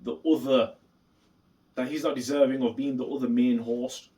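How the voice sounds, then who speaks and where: Male reaction host, mid-pitched, emphatic and deliberate, repeats points for stress, recorded at some distance from the mic. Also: little pitch movement, mellow. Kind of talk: opinion commentary reacting to a clip.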